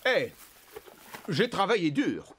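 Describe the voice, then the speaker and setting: A man voicing a restless young ranch horse in an animated series, earnest and expressive, full of longing for freedom. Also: high-pitched, slow, a little hiss or hum, full character voice. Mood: wistful, complaining. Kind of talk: animated series voice acting, French dub.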